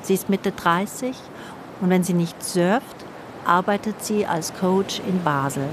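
A woman narrating a radio feature in a calm, personal, questioning way. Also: fairly low pitch, a little slow, noisy background. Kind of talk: scripted reflective narration. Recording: studio narration over music.

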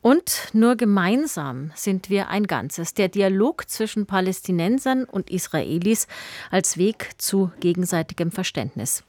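Low-voiced female radio host, dry studio. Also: a little mellow, no echo. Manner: measured, polished. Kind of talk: scripted radio presentation.

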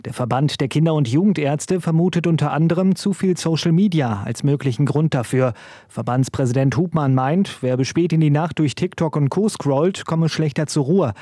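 A male correspondent reading a news report in a steady, scripted way. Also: fairly high pitch, moderate pace, dry recording, clean studio sound. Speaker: radio correspondent.

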